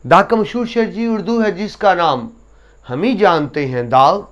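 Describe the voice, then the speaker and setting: A man giving a lesson to students, explaining essay writing and reciting couplets slowly with emphasis. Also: sits high, dull audio. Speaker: teacher.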